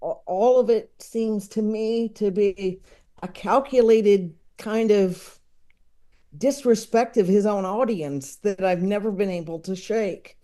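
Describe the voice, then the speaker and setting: Female podcast guest, mellow tone, mid pitch, informal and halting delivery. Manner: halting, searching for words. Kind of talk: casual chat.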